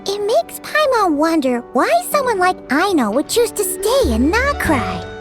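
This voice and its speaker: A woman voicing a small chirpy fairy companion in a video game, with a squeaky childlike voice, curious and earnest. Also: wildly swooping pitch, measured pace, exaggerated voice. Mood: earnest, puzzled. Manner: refers to self in third person, exclaiming. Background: music.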